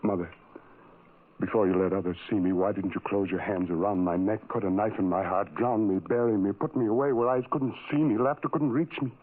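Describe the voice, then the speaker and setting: A man's voice: fairly low pitch, fairly even intonation, brisk, narrow, tinny audio, lightly acted. Man acting a tormented outcast, thin radio sound. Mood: bitter reproach. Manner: anguished, brooding, repeats phrases obsessively. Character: tormented, self-loathing ugly man, a sideshow freak narrator. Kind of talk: 1970s radio drama acting, first-person monologue. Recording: thin vintage radio sound, music underneath.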